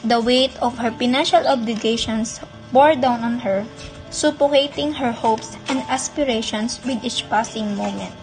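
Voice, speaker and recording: High-voiced Filipino woman narrating radio drama. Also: normal speed, music in the background, low-quality recording.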